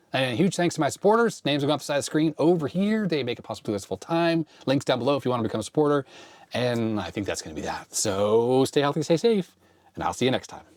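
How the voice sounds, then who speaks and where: A man's voice: mid-range voice, no room sound. A man hosting a retro computer tech vlog, thinking aloud and a little sick with a fading voice. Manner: thinking aloud, hesitant self-questioning. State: slightly sick, losing his voice. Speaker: host of a retro computer channel. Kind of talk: casual tech vlog explaining on screen.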